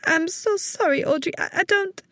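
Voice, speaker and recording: A woman's voice: high voice, big pitch swings, brisk, no room sound, voice pushed up. A woman voicing a frightened, tearful young clinic assistant in an audiobook, trembling, hesitant and apologetic. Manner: hesitant, anxious. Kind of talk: audiobook character acting.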